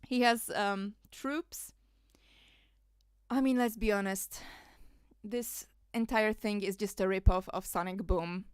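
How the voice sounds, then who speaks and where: A woman rambles through casual livestream commentary, laughing and leaning on fillers. Her voice sits at a middle pitch. The sound is dry and close. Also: steady tone, takes it slowly, clear, resonant tone, dry recording. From Poland. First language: Polish. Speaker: streamer.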